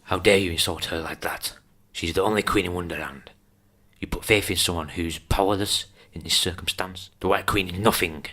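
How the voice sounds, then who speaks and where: Man acting a very deep menacing monster. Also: steady tone, moderate pace, pushed and bright. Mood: scornful. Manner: menacing, accusatory, declamatory. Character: huge menacing male monster guard, fanatically loyal.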